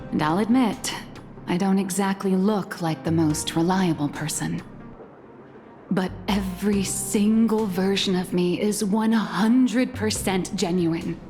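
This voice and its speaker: A woman's voice: mid-range voice, a little mellow, big-room sound. An actress voicing a playful, self-assured young woman of many identities in a video game, talking in a teasing, confident way.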